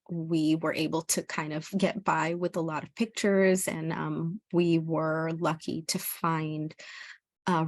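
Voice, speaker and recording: A woman's voice: sits low, no echo. A middle-aged woman from El Salvador giving an informal educational presentation as a parent, speaking in a hesitant, earnest way.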